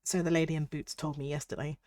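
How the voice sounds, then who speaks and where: A young British woman streaming as a VTuber, chatting casually and reading chat aloud while her voice is husky from a cold. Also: low voice, little pitch movement, fast, darkish tone, no room sound, clean studio sound. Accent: British English. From United Kingdom.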